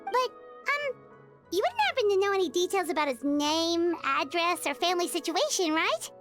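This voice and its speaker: Super high squeaky little girl sidekick, chatty and curious, bouncy voice, faint game music. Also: big pitch swings, moderate pace, fairly bright tone, full character voice. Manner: chatty, refers to herself in third person. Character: tiny floating girl companion, chirpy and curious. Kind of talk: video game voice acting.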